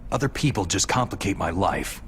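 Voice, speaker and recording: An actor playing a gruff, stoic lone soldier in a video game, speaking in a terse, detached, hard-boiled manner. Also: male voice, sits low, lively intonation, a little fast, fairly bright tone, slight background noise. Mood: guarded, dismissive. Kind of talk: video game cutscene acting.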